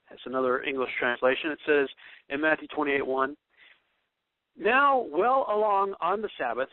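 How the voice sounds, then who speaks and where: A man's voice: a little high, no echo. Earnest man with a thin, phone-like sound. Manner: thinking aloud, searching for words. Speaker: bible teacher. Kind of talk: informal recorded bible study talk.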